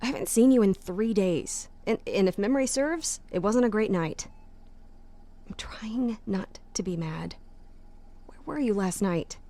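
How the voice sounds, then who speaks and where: A woman's voice: medium pitch, unhurried, mellow, lightly acted. Actress as a hurt, frustrated girlfriend. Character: hurt, frustrated girlfriend leaving a phone message.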